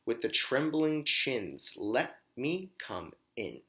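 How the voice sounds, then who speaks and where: A man voicing a big bad pig, a bullying storybook villain making slow, menacing threats. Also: medium pitch, big pitch swings, fairly bright tone.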